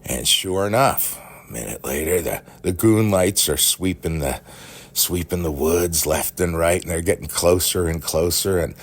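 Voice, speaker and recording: A man telling a rambling personal story in a halting, folksy, animated way, with a gravelly, husky voice. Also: very deep voice.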